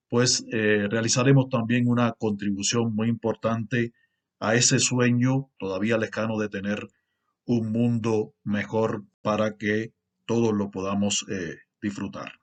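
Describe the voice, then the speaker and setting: A middle-aged man with a Cuban Spanish accent, a university lecturer giving a formal conference talk in a measured, earnest way with pauses and fillers. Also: medium pitch. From Cuba.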